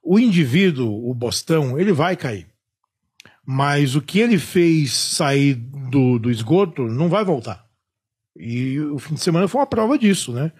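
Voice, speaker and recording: Guy ranting on a movie podcast, kinda husky, voice jumps all over, swears a lot. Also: on the high side. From Salvador, Bahia, Brazil. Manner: animated, profane ranting with slangy asides. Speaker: film critic, podcast panelist. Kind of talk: casual podcast chat.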